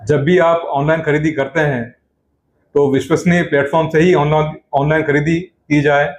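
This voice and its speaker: A man, a senior police officer, delivering a formal, scripted public safety appeal in short, deliberate phrases. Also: fairly high pitch, steady tone. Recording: roomy echo with faint background noise.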